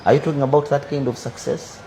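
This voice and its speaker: Ugandan man in his twenties, measured. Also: mid-range voice, little pitch movement, gravelly, mellow, a little hiss or hum. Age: 20s. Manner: measured, philosophizing, repeats "for me, I believe". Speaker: businessman guest. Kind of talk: interview answers.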